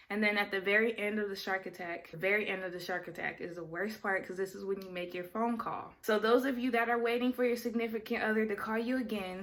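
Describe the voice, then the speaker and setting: A young adult woman talking straight to her viewers in a casual vlog. She explains things in a chatty, easygoing way, pausing to correct herself now and then. Her voice sits at a medium pitch for a woman. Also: a bit bright. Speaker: vlogger.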